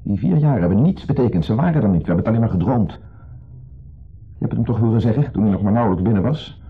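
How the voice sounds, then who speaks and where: An actor playing an insecure, jealous husband in a 1970s radio play, accusing, brooding and sardonic. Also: male voice, on the low side, lively intonation, fast talker, breathy edge. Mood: bitter, agitated. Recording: muffled phone-like old radio recording.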